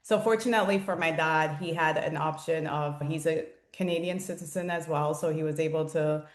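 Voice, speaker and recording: A woman's voice: deep voice, fairly even intonation, fairly quick. A middle-aged woman from Jamaica giving conversational, explanatory answers as an interview guest. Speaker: interview guest, founder and consultant. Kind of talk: conversational interview answers about own career. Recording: roomy, echoing sound.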